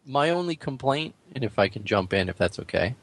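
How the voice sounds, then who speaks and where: A man's voice: mid-range voice, big pitch swings, fairly quick, no echo. A man co-hosting a podcast, discussing gaming casually in a polite, considered way. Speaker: podcast co-host.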